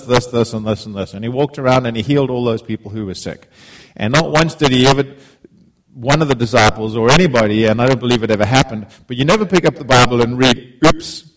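A man's voice: mid-range voice, poor audio. Heartfelt man preaching casually. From Zimbabwe. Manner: heartfelt, conversational, repeats words when starting phrases. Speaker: guest preacher. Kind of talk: informal sermon.

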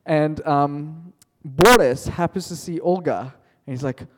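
A man's voice: on the high side, unhurried, in a large hall. A male teacher telling a playful made-up story to his class, chatty and joking, calling on students by name.

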